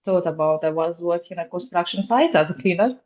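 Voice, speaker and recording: Young romanian woman, second-language english, lots of ums, lowish voice, muffled sound. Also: a little fast, darkish tone. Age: 20s. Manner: earnest, hesitant with many ums, laughs often. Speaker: guest, security officer. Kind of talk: casual interview chat. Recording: dull lo-fi sound.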